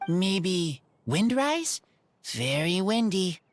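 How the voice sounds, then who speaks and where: An earnest wild boy from an anime game. The words come very slowly in terse, halting bits, in a lowish voice over clear background music. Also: big pitch swings, heavily acted. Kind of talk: anime video game voice acting.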